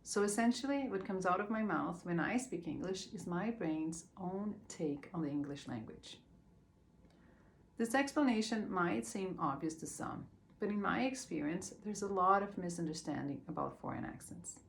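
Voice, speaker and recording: Brazilian-accented woman, lowish voice. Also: animated, moderate pace, vocal fry, slight background noise. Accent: Brazilian Portuguese. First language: Portuguese. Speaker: speaker. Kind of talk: prepared talk.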